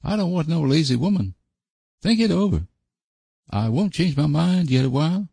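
Storybook farmhand suitor, acted by a man, raised pitch, solemn, terse, rustic dialect, dry close sound. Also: animated, moderate pace, creaky, warm tone, husky, no room sound, exaggerated voice. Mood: stubbornly hopeful. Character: lank, solemn, blunt young country farmhand suitor. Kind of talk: audiobook character voice.

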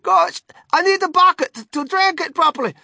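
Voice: very high-pitched, brisk, gravelly, pushed and bright, dry recording, pitched above the performer's own voice, full character voice. A reader voicing a greedy, gluttonous young boy in a children's storybook. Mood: greedy delight.

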